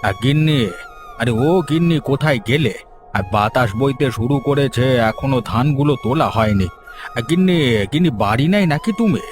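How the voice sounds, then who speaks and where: A man's voice: medium pitch, lively intonation, normal speed, faint music behind, close to an everyday voice. A man voicing a wary, fussing village farmer and husband in a cartoon. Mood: calling, impatient. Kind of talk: Bengali cartoon voice acting.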